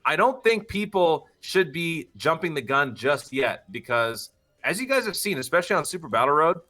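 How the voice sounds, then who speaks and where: A man's voice: on the high side, big pitch swings, moderate pace, bright, forceful tone. A young man, a voice actor guest on a podcast, chatting casually in a playful, self-deprecating way.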